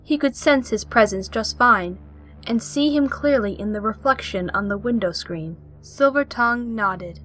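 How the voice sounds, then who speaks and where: A woman's voice: on the high side, moderate pace, fairly bright tone. Woman narrating over music. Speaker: audio play narrator. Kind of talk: scripted storybook narration.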